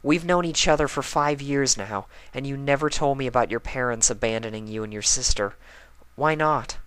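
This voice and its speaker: A man's voice: medium pitch, moderate pace, a bit bright, clear, resonant tone, dry recording, natural voice, rough recording. Man doing a caring, sincere unicorn mare. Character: thoughtful, caring, studious female unicorn pony. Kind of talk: fan fiction reading of a cartoon.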